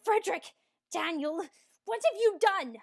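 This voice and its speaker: A woman's voice: very high voice, animated, unhurried, vocal fry, exaggerated voice. A woman playing a haughty, commanding and indignant villainous queen in an audio drama. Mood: outraged.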